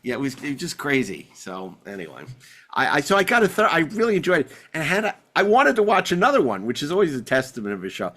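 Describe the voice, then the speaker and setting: A man hosting a podcast, chatting casually about sci-fi television in a rambling, excitable way with stammered repeats. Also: a little high, fast. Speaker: podcast host.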